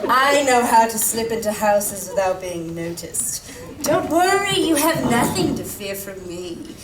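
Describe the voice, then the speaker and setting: A woman's voice: mid-range voice, sing-song, measured pace, very noisy. A woman playing a vengeful, sneaking villain in a radio play on stage. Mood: scheming. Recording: echoey stage from far off, music behind.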